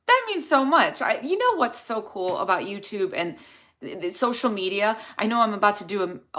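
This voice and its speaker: A woman's voice: medium pitch, bright, forceful tone. A young woman with a Chicago accent, a dental hygienist chatting through a livestream Q&A and answering viewers' questions in a rambling, casual way. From Chicago area.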